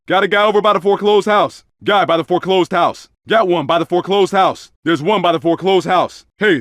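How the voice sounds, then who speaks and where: An actor playing an undercover police officer in a video game, giving brisk, commanding tactical callouts in a clipped way. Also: male voice, high-pitched, fairly bright tone, no room sound, clean studio sound. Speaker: video game player character voice. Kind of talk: video game combat callouts and radio dispatch reports.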